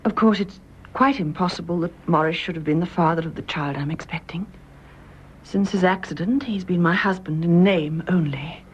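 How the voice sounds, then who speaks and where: An actress as an anguished young English wife, muffled recording. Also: female voice, lowish voice, moderate pace, a little hiss or hum, lightly acted. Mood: ashamed, frank. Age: young adult. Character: anguished young adulterous widow, honest and emotional. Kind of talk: British radio drama acting.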